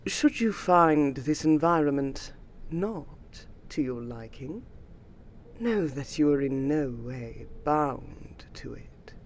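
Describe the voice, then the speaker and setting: Woman playing a slow, gracious vampire noblewoman. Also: low voice, expressive, slight background noise, full character voice. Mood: gentle, reserved. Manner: formal, archaic, soothing, wistful. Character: gracious ancient vampire lady, noble keeper of a magical sanctum. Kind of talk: scripted fantasy roleplay audio, addressing the listener.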